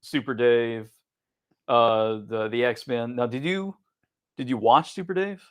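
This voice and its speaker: A middle-aged male podcast co-host chats casually in a medium-pitched voice. He recounts and describes things informally, as in a relaxed conversation between friends. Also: takes it slowly, smooth. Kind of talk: casual chat, recounting TV memories.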